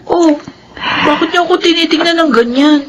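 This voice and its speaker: A woman's voice: very high voice, normal speed, a little hiss or hum. A Filipino woman playing a distraught, fretful young daughter in a radio drama, with a whiny, agitated delivery.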